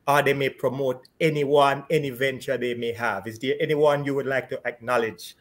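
A man's voice: medium pitch, fairly even intonation. A middle-aged man hosting a live interview over a video call from his home, laughing often between questions. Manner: cheerful, laughs often, quick bursts. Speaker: host. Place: home room with shelves. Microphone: video call webcam.

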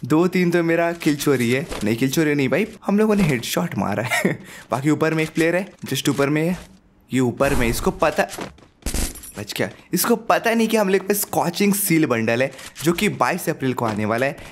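A young man with a sore throat giving excited live commentary over his own mobile battle royale match, hyping up his kills and laughing often, speaking into a headset at home. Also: fairly high pitch, normal speed, a little hiss or hum. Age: young adult. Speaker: gaming YouTuber. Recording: game gunfire behind.

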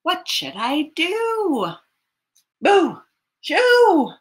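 A woman's voice: extremely high pitch, wildly swooping pitch, very slow, voice pushed up, exaggerated voice. A woman voicing a flustered small child in a picture-book read-aloud, exclaiming and grudgingly giving in. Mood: alarmed. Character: flustered small child shooing animals off the porch.

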